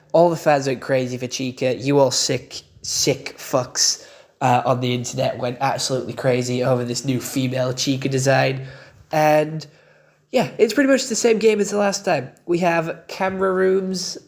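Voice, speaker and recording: A young man with a croaky voice, giving a casual spoken game review with loose fillers and casual swearing. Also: mid-range voice, a little mellow.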